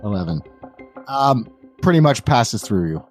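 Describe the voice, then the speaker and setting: A man narrating a tabletop roleplaying game as game master, in a deliberate, measured way. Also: mid-range voice, big pitch swings, over music.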